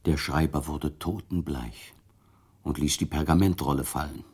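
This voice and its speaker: A man's voice: rumbling low, fairly even intonation, moderate pace, a little mellow, breathy edge. A man narrating a literary radio drama from a script, telling the story in formal prose.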